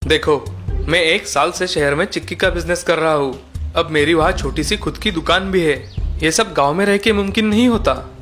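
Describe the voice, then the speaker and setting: Cartoon elder brother acted by a man: caring shopkeeper, earnest persuader, fairly high male voice. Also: moderate pace, a bit bright, soft background music, close to an everyday voice. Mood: persuasive.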